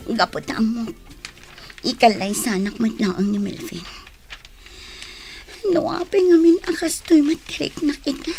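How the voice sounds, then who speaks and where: A woman's voice: sits high, slight background noise, exaggerated voice. A woman playing a lovelorn young woman in a radio comedy drama, who speaks in a subdued, hesitant way.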